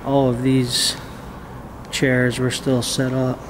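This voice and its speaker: A man talks through his walk around a large, empty jail building, in a flat, even tone with little rise and fall. His voice comes through a camera microphone with a steady hiss of background noise behind him. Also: takes it slowly. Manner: low-key, conversational, pointing things out. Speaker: urban explorer host. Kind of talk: casual walkthrough narration.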